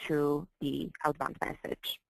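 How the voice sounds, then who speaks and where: A woman with a low voice and noticeable vocal fry leads a software training session. She explains slides step by step, carefully and a bit haltingly, repeating herself as she goes. The sound is dry and close. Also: flat delivery, no echo, rough recording. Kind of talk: slide-based training walkthrough.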